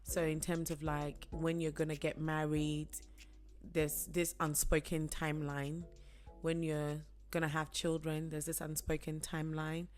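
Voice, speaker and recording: A woman in her thirties co-hosting a podcast with her husband, chatting in a relaxed way and laughing easily. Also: low-pitched, a little slow, faint music behind. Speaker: podcast co-host. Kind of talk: casual chat.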